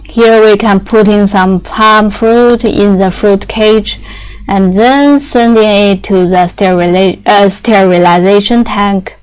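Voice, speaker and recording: A young woman whose first language is Chinese, explaining factory machinery in halting English with many fillers and restarts. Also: mid-range voice, steady tone, smooth, low-quality recording.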